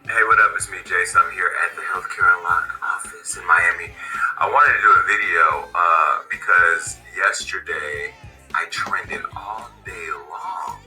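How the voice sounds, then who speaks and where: A man giving a direct-to-camera statement from an office, speaking in a deliberate, measured way and repeating phrases for emphasis. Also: sits low, pushed and bright, soft background music, low-quality recording. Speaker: media founder giving a video statement.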